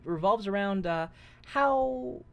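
A man's voice: high-pitched, lively intonation, takes it slowly, vocal fry, a bit bright, lo-fi sound. A man co-hosting a podcast in casual banter. His voice is light and boyish. He reads story text aloud and keeps breaking off for crude, mocking jokes. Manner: joking, swears freely, reads the story aloud with mocking asides. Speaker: podcast co-host. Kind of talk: casual comedic recap chat.

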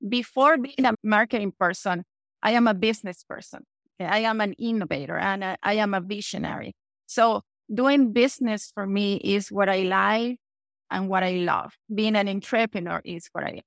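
A woman in her forties from Venezuela, speaking English with a Venezuelan Spanish accent, her voice edged with a gravelly fry. She talks confidently as a podcast guest, stopping often to check in with "okay". The sound is close and dry. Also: medium pitch, moderate pace, fairly bright tone, no echo. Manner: confident, says "okay" often, self-corrects. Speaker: guest entrepreneur. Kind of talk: podcast interview.

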